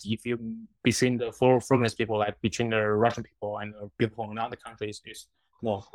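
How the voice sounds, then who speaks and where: A young man from China with Chinese as his first language, answering interview questions haltingly, often restarting words. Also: fairly low pitch, level tone, dry recording. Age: 20s. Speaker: guest.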